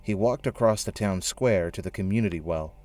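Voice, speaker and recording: A low-pitched male narrator tells a scripted story for an audio drama. His delivery is measured, and music plays beneath it. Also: darkish tone.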